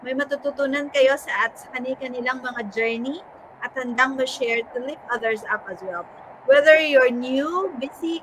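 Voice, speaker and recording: A woman's voice: high voice, bright, forceful tone, a little hiss or hum, low-quality recording. A woman with a Filipino accent hosting a motivational live online session as a coach, encouraging, mixing Tagalog and English. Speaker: host and coach. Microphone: online video call.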